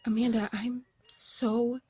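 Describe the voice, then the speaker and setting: An anxious, apologetic young woman stumbles and restarts as she talks in an audio drama. Her voice is fairly high, and the recording is close and dry. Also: level tone, mellow, breathy edge, no room sound. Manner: halting, apologetic rambling. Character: nervous, rambling young woman friend.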